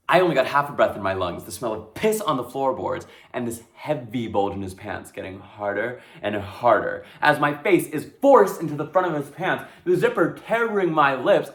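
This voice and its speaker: A man's voice: medium pitch, expressive, normal speed, a bit bright. Man acting an intense, terrified young street hustler. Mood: dazed, tense, then pained, agitated. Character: young street hustler, terrified, dazed, recounting brutal violence. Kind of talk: dramatic stage monologue. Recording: echoey room.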